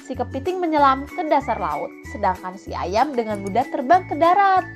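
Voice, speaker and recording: A woman narrating a scripted children's fable as an expressive storyteller. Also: high voice, moderate pace. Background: children's background music.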